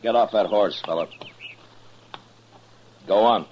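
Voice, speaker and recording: A man's voice: fairly low pitch, slow pace, dull audio. An actor playing a stern, suspicious frontier lawman in a radio western, terse, dry and commanding. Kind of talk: 1950s radio western acting. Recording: noisy old-time radio recording.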